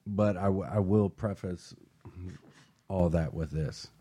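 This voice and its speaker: Low, dark-voiced male host. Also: slow. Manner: earnest, passionate, repeats words when starting. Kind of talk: motivational talk show.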